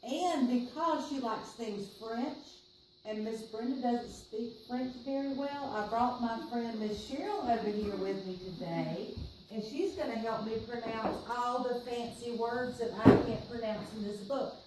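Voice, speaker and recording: A woman with a Southern US accent reads to children in a slow, chatty way. She is playful and expressive. The room is echoey, with some background noise. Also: fairly high pitch. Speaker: children's storytime reader. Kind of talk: picture book read-aloud with friendly asides to children.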